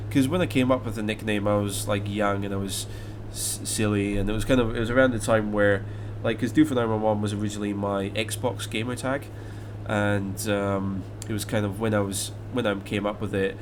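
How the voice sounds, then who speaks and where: A man's voice: on the low side, fairly even intonation, moderate pace, few pauses, noisy background. Scottish man chatting on a live stream. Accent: Scottish, mixed with English. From Scotland. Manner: rambling, with many fillers and restarts. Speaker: flight sim live streamer. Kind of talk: casual live-stream chat, reading viewer comments aloud.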